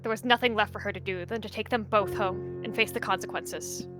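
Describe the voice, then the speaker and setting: A woman narrating a dramatic roleplay story in an expressive, measured storytelling manner. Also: medium pitch, over music.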